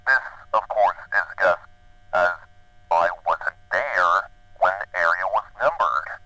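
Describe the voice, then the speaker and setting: An actor playing a secretive male janitor calling in to a show, speaking in a low, measured, cryptic way. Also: expressive, bright, forceful tone, no echo, heavily acted, low-quality recording. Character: mysterious older male janitor caller, secretive. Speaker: caller.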